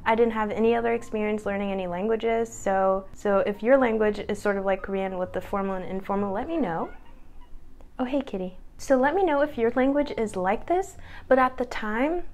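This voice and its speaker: A woman's voice: on the high side, a little mellow. A young woman in her twenties talking casually to the camera at home, chatty and upbeat, laughing at herself now and then. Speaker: vlogger.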